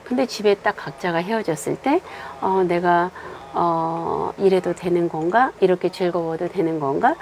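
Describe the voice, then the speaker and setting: Hoarse woman giving a tarot reading. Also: lowish voice, darkish tone, a little hiss or hum. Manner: unhurried, many filler sounds. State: hoarse from a cold, coughing. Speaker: tarot reader. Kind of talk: monthly tarot fortune reading.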